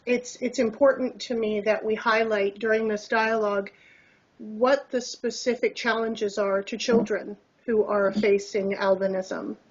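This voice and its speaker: A woman's voice: mid-range voice, fairly even intonation, a little slow, highs cut off. A woman hosting a conversational interview, phrasing her questions carefully and reflectively. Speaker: interview host.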